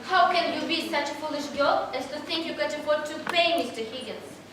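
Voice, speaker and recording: A prim, proper housekeeper, played by a woman, deferential but stern, her voice set high. She sounds far from the mic in an echoey, noisy recording. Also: brisk, fairly bright tone. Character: prim middle-aged female housekeeper.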